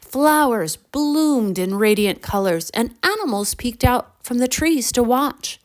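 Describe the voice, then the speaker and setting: Slow, expressive woman storyteller. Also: fairly high pitch. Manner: expressive, deliberate storybook delivery. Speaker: narrator. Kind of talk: scripted children's bedtime storytelling.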